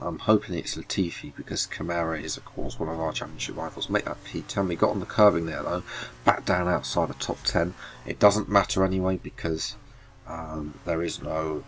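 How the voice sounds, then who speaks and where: A man's voice: deep voice, a little hiss or hum. A man hosting a racing-game video, talking casually over gameplay, sniffly from hay fever and often correcting himself. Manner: rambling, self-correcting, apologetic. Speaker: gaming video host.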